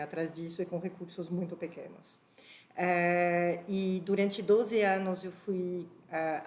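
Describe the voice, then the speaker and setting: A middle-aged woman with a French accent, speaking as a panelist on a video call in a measured way. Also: on the low side, a little mellow, roomy sound. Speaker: panelist, former HR director. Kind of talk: webinar panel talk.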